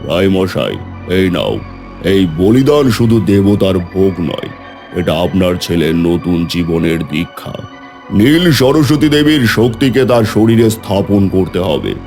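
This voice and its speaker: A man's voice: sits low, very animated, unhurried, creaky, darkish tone, faint music behind, heavily acted. A man voicing a solemn, commanding tantric priest in a dramatic horror cartoon, urgent and ominous.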